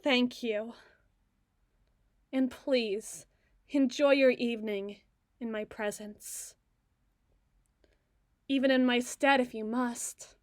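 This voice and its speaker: A woman voicing a regal, icy evil queen in a scripted ASMR roleplay, speaking in formal, theatrical oratory with deliberate emphasis. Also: fairly high pitch, steady tone, no room sound, studio quality. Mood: gracious, regal.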